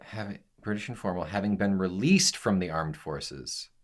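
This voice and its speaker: Low-voiced laid-back man. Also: normal speed. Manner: easygoing, laughs often, reads definitions aloud. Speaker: podcast co-host. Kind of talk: casual chat about song lyrics.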